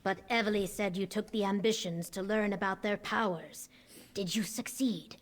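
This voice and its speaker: An actress playing a grave, commanding military leader in a video game, speaking formally and with measured words. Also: female voice, mid-range voice, steady tone, lightly acted.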